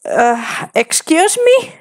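A young woman reads her own typed chat messages aloud, one careful word at a time. Her voice sits a little high for a woman, and her delivery is very slow and deliberate. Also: sing-song. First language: Finnish. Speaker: vlogger. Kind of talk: casual commentary reading chat aloud.

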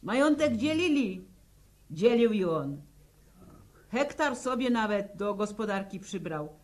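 Slow-spoken rural Polish widow. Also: female voice, medium pitch, sing-song, a little mellow. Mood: wistful recollection. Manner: slow, halting storytelling in plain folk speech. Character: weary peasant widow recalling her slain husband. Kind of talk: 1960s Polish radio drama acting. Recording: old 1960 radio drama recording.

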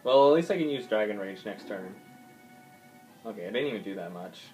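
A man's voice: lowish voice, animated, brisk, darkish tone, rough recording. A man giving casual, swearing gameplay commentary, thinking aloud with lots of ums as he plays. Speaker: gaming YouTuber. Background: faint game music.

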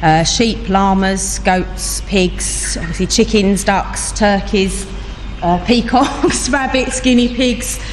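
A woman being interviewed on a farm for radio, explaining the animals in a quick, chatty way with light laughs. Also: medium pitch. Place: outdoors on a farm. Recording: outdoor field recording with background noise.

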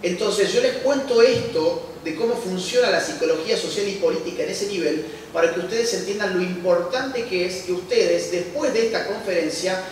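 A man's voice: sits high, slight background noise. A man, giving an emphatic public lecture and firing off rhetorical questions that he answers himself. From Argentina. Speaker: conference speaker. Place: large church hall used as a lecture venue. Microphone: handheld mic, recorded by a distant camera. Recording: echoey church hall heard from a distance.

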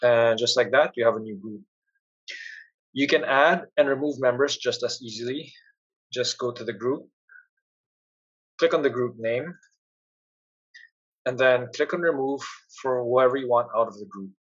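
Husky man narrating a software tutorial. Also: mid-range voice, normal speed, pauses often, muffled recording. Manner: plain, instructional. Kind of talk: step-by-step screencast narration.

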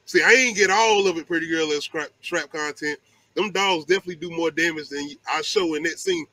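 A man's voice: fairly high pitch, fairly quick, sharp tone, dry recording, poor audio. A middle-aged man, hosting a casual livestream chat in a playful, slangy way. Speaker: host of a movie talk livestream. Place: indoors, home livestream. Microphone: video call.

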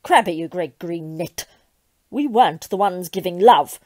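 A woman's voice: fairly low pitch, expressive, a little slow. Petulant, swooping devil, played by a woman. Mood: baffled. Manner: theatrical, indignant, haughty. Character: petulant, vain Satan, a self-pitying comic villain. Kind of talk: comic audio drama acting.

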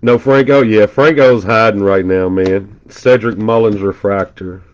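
Brief: congested male host, lowish voice, quick chatty card-break patter, dull lo-fi audio. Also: fairly even intonation. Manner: rattles off player names rapidly, chatty asides. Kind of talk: casual live-stream commentary while opening packs. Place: indoor room at a table.

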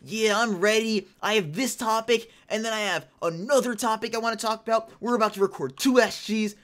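A woman in her late teens hosting casual gameplay commentary, chatty and excitable, rambling between topics, her voice a little hoarse. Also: on the high side, a little fast. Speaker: gaming channel host.

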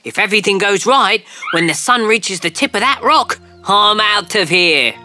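A chatty cartoon alien. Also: female voice, medium pitch, soft background music. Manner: quick, excitable, talkative. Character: friendly green alien, hurried and chatty. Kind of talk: cartoon voice acting.

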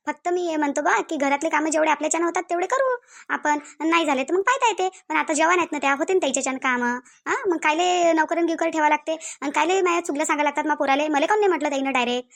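A woman's voice: sits high, fast talker, vocal fry. A woman with a Varhadi Marathi accent voicing a quarrelsome, grudging mother-in-law in a cartoon family drama, scolding and accusing with pointed questions.

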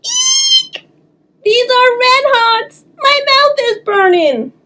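A demanding little girl from a picture book, played by a woman reader. She exclaims and whines in a high-pitched voice that leaps up and down, stretching her words out slowly for drama. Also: huge pitch swings, pushed and bright, full character voice. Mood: disgusted alarm. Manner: exclaiming, drawn-out, whiny complaining. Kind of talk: children's storybook read-aloud.

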